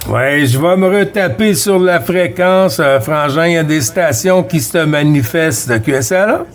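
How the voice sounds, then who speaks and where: A man's voice: on the high side, normal speed. A man with a Quebec French accent chatting casually as a CB radio operator, hesitant and repeating radio codes. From Quebec, Canada. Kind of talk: casual radio contact chat.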